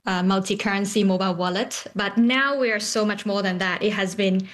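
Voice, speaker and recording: Woman from Hong Kong, hesitant interview guest. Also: medium pitch. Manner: hesitant, frequent pauses mid-phrase. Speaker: company founder, interview guest. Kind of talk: conversational interview answers.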